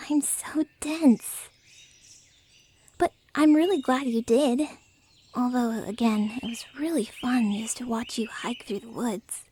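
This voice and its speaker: A woman voicing a friendly, naive, excitable dragon in humanoid form, chatty and playful in a soft ASMR roleplay style. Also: on the high side, slightly rough. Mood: playful, curious. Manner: chatty, playful, casually blunt about eating people.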